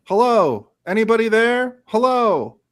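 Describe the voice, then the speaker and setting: A man's voice: very high voice, very animated, a little slow, heavily acted. A man playing a confident actor-commander in trouble in a live radio play, speaking directly and with urgency. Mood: urgent.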